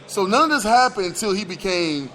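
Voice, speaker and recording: A man's voice: sits high, very animated, fairly quick, bright, forceful tone, rough recording. An American man co-hosting a sports radio show, talking in casual slang and repeating words and phrases for emphasis.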